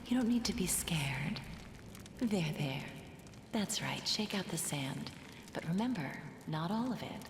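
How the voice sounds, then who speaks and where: An actress playing a tender, solemn mother telling an old legend in a video game, gentle and hushed, at times nearly whispering. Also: on the low side, lively intonation, measured pace, vocal fry. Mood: soothing. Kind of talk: video game voice acting, storytelling. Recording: echoing reverb, noisy game ambience.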